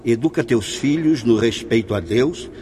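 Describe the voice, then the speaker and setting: A man's voice: medium pitch, animated, moderate pace, dark tone, slight background noise, lightly acted. An actor playing a devout village priest who gives fatherly counsel in a solemn, preaching manner in a radio drama.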